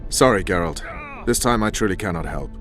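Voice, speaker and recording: An actor playing a hard, blunt army captain and spymaster in a video game, sardonic and menacing. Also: male voice, low voice, expressive, fairly quick. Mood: firm. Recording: game music behind the voice.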